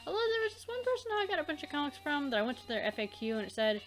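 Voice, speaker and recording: A woman's voice: high voice, very animated, very fast, faint music behind. A woman hosting a let's play, chatting casually in a rambling way that often loses its thread. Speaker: let's play host and amateur voice dubber. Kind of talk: casual rambling gameplay chat.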